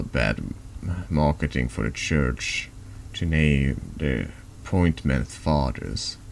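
Man playing a very deep, brooding confessor. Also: gravelly, a little mellow, a little hiss or hum, exaggerated voice. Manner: measured, brooding, polite. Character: troubled, cynical, secretive man in a confessional.

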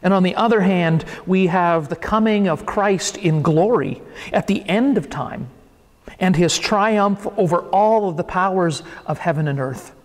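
A middle-aged man preaching a sermon from a pulpit in a church, earnest and measured, building his points through repetition. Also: high voice, in a large hall. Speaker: pastor. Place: church sanctuary, speaking from a pulpit.

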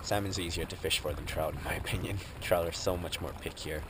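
Deep-voiced man on an outdoor action cam. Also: brisk. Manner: relaxed, rambling, easygoing. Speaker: angler filming his trip. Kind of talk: casual talk to camera. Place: outdoors on a lake in an inflatable boat. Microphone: action camera held at arm's length. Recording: action camera audio with water noise outdoors. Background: water and boat noise.

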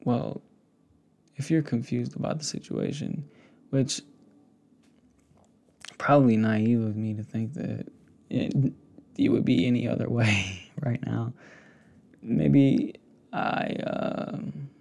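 Slow, gravelly-voiced young man, hushed and intimate. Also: mid-range voice. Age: young adult. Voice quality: gravelly, breathy.

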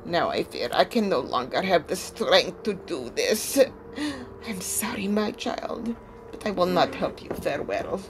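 A woman's voice: on the low side, expressive, noisy background. A woman playing a weary old female spirit medium, solemn and foreboding, in a theatrical audio drama. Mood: haunted, grave. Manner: solemn, dramatic, deliberate pronouncements.

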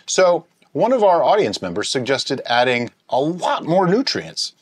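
A man's voice: on the high side, a little slow, husky. A middle-aged man hosting a home brewing show, casually explaining each step as he works at a table. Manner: animated, explains steps while handling gear. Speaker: homebrewing show host. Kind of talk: casual instructional chat. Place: home studio with shelves of bottles.

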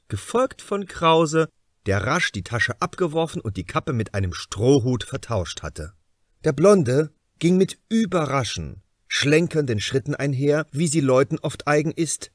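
Male audiobook narrator for crime fiction: widely varied intonation, deliberate pauses, dry close sound. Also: medium pitch, no echo. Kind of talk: scripted narration of a crime novel.

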